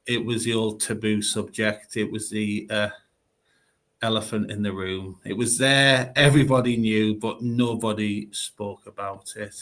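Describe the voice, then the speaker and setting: A man's voice: medium pitch, fairly bright tone. A man on a live webinar giving an earnest, emphatic personal testimony. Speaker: webinar panelist.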